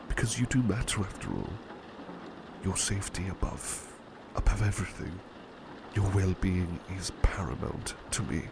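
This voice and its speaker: A man playing a devoted servant turned talking plush toy in a soothing ASMR roleplay, soft-spoken, reassuring and politely formal, a little hesitant. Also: low-pitched, creaky, background noise. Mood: reassuring, tender.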